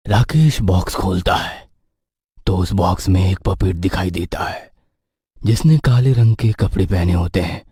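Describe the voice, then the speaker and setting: A man with a husky voice reading scripted horror story narration. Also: sits low, measured pace, warm tone, dry recording, clean studio sound.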